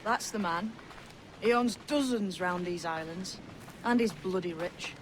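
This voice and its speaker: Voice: medium pitch, measured pace, slight background noise, natural voice, rough recording. A performer playing a sly, cool young pirate lad in a video game, dry and teasing. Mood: conspiratorial.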